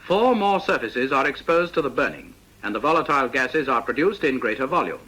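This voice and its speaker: A British man reading a scripted instructional narration for a vintage training film, in a precise, measured, didactic manner. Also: mid-range voice, expressive, sharp tone, breathy edge, rough recording.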